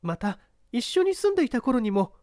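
A woman acting out an uneasy widow under questioning in a mystery story. Her voice sits fairly high, recorded dry and close. She answers politely and formally, in measured phrases. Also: sing-song, warm tone, no echo. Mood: uneasy, subdued. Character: uneasy widow testifying before an examining judge. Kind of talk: dramatised mystery story reading.

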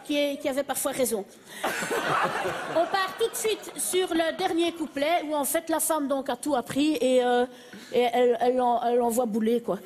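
A woman's voice: sits high, moderate pace, noisy background. A woman in her thirties with a Belgian French accent, a comedian performing a comic song with big theatrical gestures on a TV studio stage. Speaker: stand-up comedian. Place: large TV studio stage with audience. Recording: loud studio music behind.

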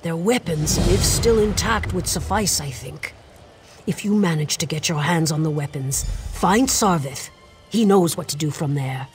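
An actor playing an earnest guild mage who gives measured briefings in a fantasy video game. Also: medium pitch, lively intonation, soft background music, lightly acted. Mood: measured, instructive. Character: earnest Mages Guild scholar briefing an adventurer.

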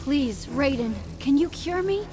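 A woman's voice: high-pitched, lively intonation, unhurried. A woman playing an anguished, frightened young possessed woman, pleading, in a video game. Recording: music behind studio game voice.

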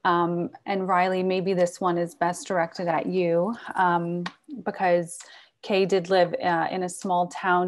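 A woman moderating a panel over video call, conversational and appreciative. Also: fairly low pitch. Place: indoor room on a video call.

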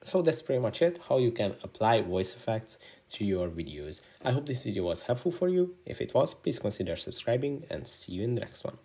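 A man's voice: mid-range voice, big pitch swings, brisk, hardly any pauses, dark tone. A man hosting a screen-recorded app tutorial, explaining the steps as a voice-over. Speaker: tutorial host.

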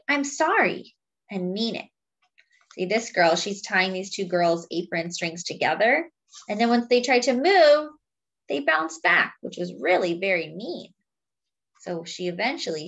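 A woman reading a picture book aloud to young children in a deliberate, teacherly way, adding simple explanations as she goes. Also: medium pitch. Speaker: children's read-aloud storyteller.

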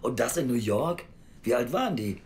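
A man's voice: medium pitch, animated, normal speed, darkish tone, lightly acted. A male actor plays a sceptical adoption official in an audio drama. The delivery is formal and reproachful, with very varied intonation and faint noise behind the voice. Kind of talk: naturalistic German audio drama acting.

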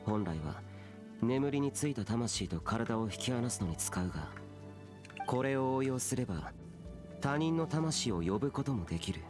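A man's voice: medium pitch, animated, unhurried, music in the background, natural voice. A man voicing an aloof, youthful immortal demon slayer in an anime game, stern and solemn, with curt, archaic speech. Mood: solemn, composed.

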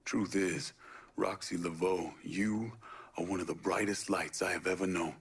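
An actor playing a stoic, principled young American war veteran in a dramatic video game. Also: male voice, sits low, steady tone, normal speed, vocal fry, slight background noise. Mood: tender, sincere. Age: young adult.

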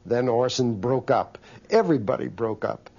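A man's voice: mid-range voice, lively intonation, normal speed, creaky, warm tone. A man recounting anecdotes as an interviewee, in a recollecting, storytelling style. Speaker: interviewee, former radio colleague. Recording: dull, muffled interview recording.

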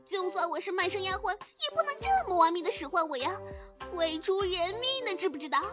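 A woman's voice: extremely high pitch, expressive, fairly quick. A woman playing a melodramatic, overworked servant girl in a comedy audio drama, whining and exclaiming with cheeky desperation. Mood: exasperated, whining. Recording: loud music behind the voice.

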